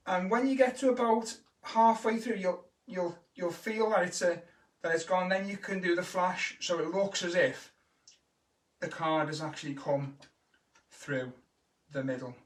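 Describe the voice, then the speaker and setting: A man from Liverpool with a Scouse accent, a kids' entertainer chatting casually through magic tricks and speeding up as he gets excited. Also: high-pitched, measured pace. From Liverpool, England. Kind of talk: casual live-stream tutorial. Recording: roomy echo, mic at a distance.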